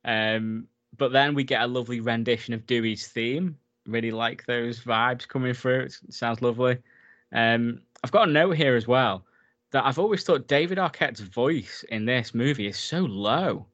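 A man's voice: medium pitch, moderate pace, a bit bright, lo-fi sound. A man in a casual film recap chat, enthusiastic and talkative, laughing often as he goes. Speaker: podcast co-host.

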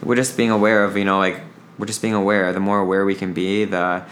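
A young man in his twenties speaks earnestly in a relaxed podcast chat, circling back over his own phrases as he thinks aloud. His voice sits low and stays fairly even. A light hiss runs under the recording. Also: fast talker. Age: 20s. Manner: earnest, reflective, repeats phrases. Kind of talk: casual podcast conversation.

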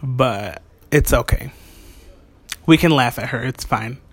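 A man's voice: medium pitch, takes it slowly, husky. A man in his twenties chatting casually as host of an amateur show, switching between English and Spanish with playful, foul-mouthed teasing. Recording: noisy amateur home recording.

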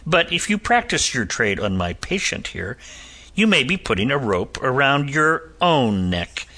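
A man's voice: medium pitch, very animated, a little slow, sharp tone, pitched above the performer's own voice, exaggerated voice. A man voicing a composed physician and former soldier with a dry, cutting wit and a lilt. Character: cool, sardonic Irish physician and ex-soldier, adult man.

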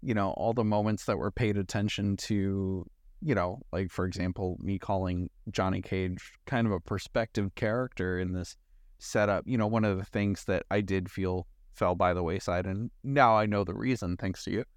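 Lower-voiced man chatting about movies. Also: steady tone, normal speed, no room sound, clean studio sound. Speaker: podcast co-host. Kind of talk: casual movie discussion.

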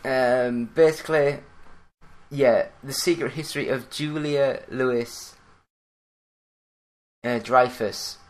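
A man with a Yorkshire accent hosting a solo gaming news podcast, talking in a fairly monotone, plain-spoken way. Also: mid-range voice, takes it slowly, long pauses, poor audio. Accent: Yorkshire English. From Yorkshire, England. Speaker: podcast host.

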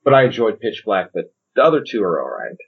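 A man's voice: fairly low pitch, sing-song, quick pace, vocal fry, slightly rough, highs cut off. A man co-hosting a podcast, chatting casually about films in an easygoing, agreeable way. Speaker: podcast co-host. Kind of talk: casual film chat.

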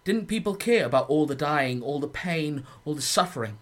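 A man's voice: a little high, lively intonation, moderate pace, lightly acted. A man playing a haunted young soldier narrating his war in a radio play monologue. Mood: anguished. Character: haunted young soldier recalling trench warfare. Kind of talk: dramatic first-person radio monologue.

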